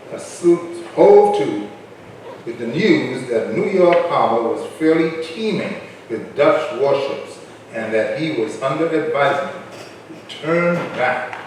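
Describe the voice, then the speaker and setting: A man's voice: mid-range voice, natural voice. A man playing a wry storytelling narrator of colonial history in a staged reading. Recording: very echoey room, distant, faint noise.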